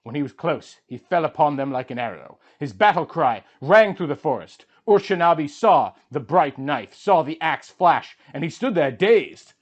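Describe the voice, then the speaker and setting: A man's voice: on the high side, animated, normal speed, hardly any pauses, highs cut off. A man narrating an ancient epic as dramatic, scripted storytelling.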